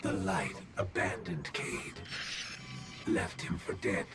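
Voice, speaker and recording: A man voicing a cold, patient otherworldly spirit in a video game, speaking in a measured, portentous, beckoning way. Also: deep voice, lively intonation, vocal fry, distant mic, loud music behind the voice, exaggerated voice. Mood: ominous, accusing. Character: ominous, seductive otherworldly dark spirit, coldly patient. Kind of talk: slow, ominous video game monologue.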